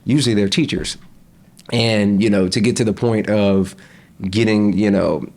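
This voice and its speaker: A young man chatting casually as a podcast guest, relaxed, with frequent laughs and fillers. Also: on the low side, normal speed. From United States.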